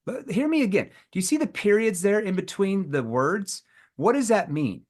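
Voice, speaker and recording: Middle-aged male sales trainer, animated, role-plays customer lines live. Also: high voice. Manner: animated, acts out prospect dialogue with rising tone and pauses.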